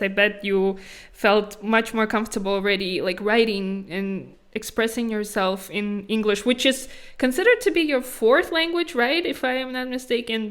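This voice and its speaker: A woman hosting a podcast, with a voice pitched a little high. She puts her questions in an inquisitive way and often tags her points with "right?" to draw out her guest. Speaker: podcast host. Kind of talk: casual interview chat.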